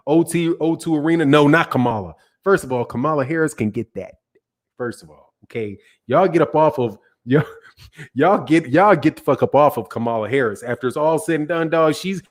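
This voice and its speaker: A man's voice: fairly high pitch, brisk. A man hosting a casual boxing livestream, reading viewer comments and arguing in loose, slangy banter that keeps trailing off and restarting. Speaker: boxing channel host.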